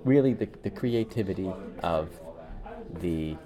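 A man co-hosting a podcast in casual chat, speaking emphatically and haltingly with repeated words as he reviews. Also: deep voice, expressive, a crowd in the background. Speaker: podcast co-host.

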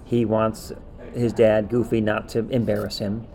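A man's voice: fairly low pitch, level tone, measured pace, warm tone. A man co-hosting a podcast, chatting casually and recapping in a rambling, offhand way. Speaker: podcast co-host.

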